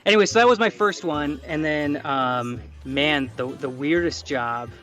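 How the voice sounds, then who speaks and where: Man, slightly high-pitched, casual interview guest, halting delivery, frequent restarts, light music behind. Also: a bit bright. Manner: halting, self-correcting, restarts often.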